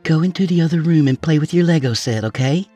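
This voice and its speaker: A man's voice: fairly high pitch, fast, mellow, faint music behind, voice pushed up, close to an everyday voice. A man voicing a stern, disapproving mother fed up with her husband, in a scolding, clipped audiobook delivery. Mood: firm, protective.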